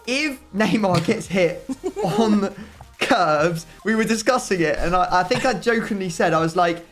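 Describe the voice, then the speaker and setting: A man hosting a gaming video, hoarse from shouting, excitable and groaning with laughing disbelief. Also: high voice, measured pace, faint music behind. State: hoarse, voice strained. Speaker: YouTube gaming host. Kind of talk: casual gaming commentary.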